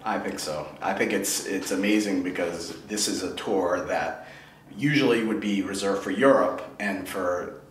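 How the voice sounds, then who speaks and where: A man interviewing a musician, enthusiastic and quick to laugh. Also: sits low. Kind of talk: casual interview chat. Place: indoor concert venue. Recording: roomy, faint background noise.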